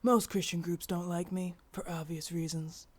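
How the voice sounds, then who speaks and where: A woman narrator acts out a blunt male demon hero for an audiobook. He is hushed and deadpan, speaking in short staccato bursts. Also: fairly low pitch, normal speed, slightly rough.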